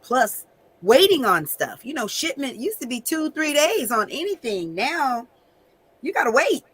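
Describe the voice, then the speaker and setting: A woman's voice: sits high, sing-song, measured pace, a bit bright, husky. A woman talking as a panelist in a casual radio discussion, conversational and thoughtful. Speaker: talk show panelist, entrepreneur. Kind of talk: casual unscripted radio talk.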